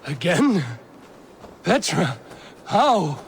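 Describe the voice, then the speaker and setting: Earnest, higher-voiced Norse warrior. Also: male voice, big pitch swings, drawn-out words, a little hiss or hum, full character voice. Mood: bewildered. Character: earnest, dogged Norse warrior on a woodland hunt. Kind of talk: video game dialogue.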